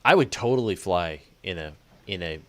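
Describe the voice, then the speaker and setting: A man's voice: sits low, lively intonation, normal speed, fairly bright tone. A man hosting a casual interview, musing aloud in a jokey way and often rephrasing mid-thought. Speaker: interview host.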